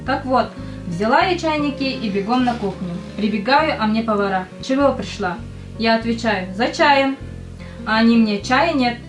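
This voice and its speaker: A woman's voice: fairly high pitch, animated, normal speed, over music, lightly acted. A woman playing a naive young army recruit, simply telling her war story in an amateur audio play. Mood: naive, storytelling. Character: young female army recruit recalling wartime mishaps.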